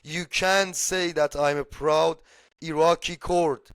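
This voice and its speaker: A man's voice: on the high side, fairly even intonation, slow pace, fairly bright tone, clean tone, no echo. Iranian guy w accent, native persian speaker, blunt n emphatic political chat, sweary, dry sound. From Shiraz, Iran. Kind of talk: casual political discussion.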